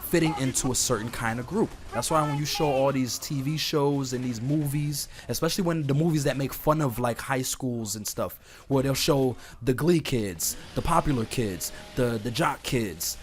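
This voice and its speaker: A man with a slightly hoarse voice from being sick, talking casually and directly to his listeners as an online host giving rambling advice. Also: mid-range voice, moderate pace, hardly any pauses. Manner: informal, conversational, addresses listeners directly. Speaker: host of an online talk series. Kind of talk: casual rambling advice chat over gameplay. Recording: clear background noise, game sounds. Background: video game playing in the background.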